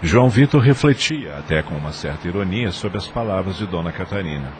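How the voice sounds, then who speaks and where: A man's voice: low voice, expressive, fairly quick, darkish tone, slight background noise. A man reading scripted narration for a dramatized audio novel.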